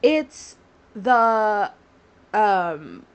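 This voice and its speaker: A woman's voice: mid-range voice, sing-song, very slow, clear, resonant tone. A woman in her twenties hosting a solo podcast, talking casually and rambling through tangents with many fillers. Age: 20s. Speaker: podcast host.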